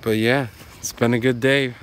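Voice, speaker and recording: A young man filming a casual fishing vlog outdoors by a pond, talking in a laid-back way with excited bursts when a fish bites. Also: medium pitch, normal speed, a little hiss or hum. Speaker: fishing vlogger.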